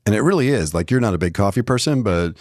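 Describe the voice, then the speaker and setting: Deep-voiced man co-hosting a podcast. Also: expressive, quick pace, a little mellow, no echo, clean studio sound. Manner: informal, thinking aloud, rhetorical questions. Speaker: podcast co-host. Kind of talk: conversational podcast discussion.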